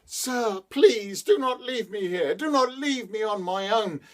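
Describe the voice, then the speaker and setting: Female demon from a told folk tale, shrill and shouting, man voicing. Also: lively intonation, a little slow. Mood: pleading. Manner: pleading then screaming, shrill. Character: female demon luring travelers, shrieking, furious.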